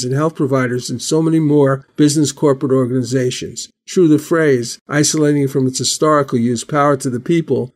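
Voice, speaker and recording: A man's voice: fairly high pitch, darkish tone. Earnest man narrating a promo. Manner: earnest, wordy, reflective. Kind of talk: scripted narration.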